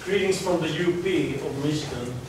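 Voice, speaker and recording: A man in his forties speaks with a Finnish accent, a guest lecturer talking to students in a chatty, conversational way. He sounds distant from the mic, his voice booming around a big echoey lecture hall. A steady hum of room noise sits under him. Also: moderate pace. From Finland. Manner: chatty, conversational, restarts phrases. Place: large lecture hall, indoors. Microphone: far camera, no mic seen.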